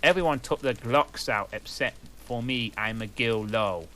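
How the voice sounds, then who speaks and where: A man's voice: mid-range voice, a little slow, sharp tone. A man reading a story aloud in a comic, mock-earnest manner, with a fireplace crackling behind him. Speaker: reader.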